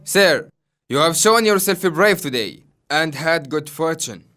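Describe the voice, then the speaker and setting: A woman's voice: low voice, lively intonation, measured pace, fairly bright tone, voice pushed down. A woman voicing a stern, commanding male duke who speaks in a formal, accusing way.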